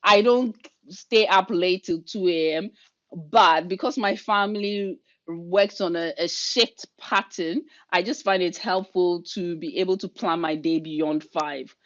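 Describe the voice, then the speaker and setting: A middle-aged woman with a Ghanaian accent, leading an online workshop in a quick, energetic, chatty way over a video call. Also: on the low side, highs cut off. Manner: fast, energetic, chatty delivery. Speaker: leadership coach and workshop leader.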